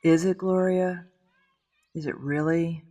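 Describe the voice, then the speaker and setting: A woman's voice: lowish voice, fairly even intonation, slow pace, warm tone. An actress playing a troubled, skeptical suburban woman in an audio drama, speaking in a somber, questioning way. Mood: doubtful.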